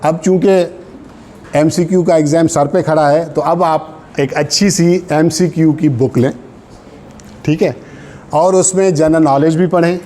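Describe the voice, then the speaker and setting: Mellow, higher-pitched Pakistani male lecturer. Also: a little hiss or hum. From Sindh, Pakistan. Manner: instructive, checks understanding with short tags; switches into English terms and phrases. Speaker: law exam coach. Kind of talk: informal classroom lecture with exam advice.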